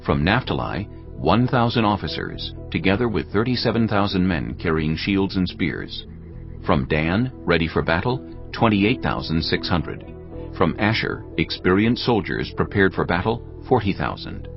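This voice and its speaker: A man narrating scripture from a script in a measured, solemn manner. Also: deep voice, big pitch swings, vocal fry, dull audio. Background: background music.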